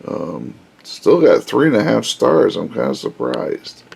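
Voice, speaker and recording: A man with a scratchy voice giving a relaxed, casual hands-on review of a cheap car stereo, explaining each step with plenty of pauses and "uh"s. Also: on the low side, gravelly, husky. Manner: relaxed, unhurried explaining with frequent "uh"s. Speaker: car audio hobbyist reviewer.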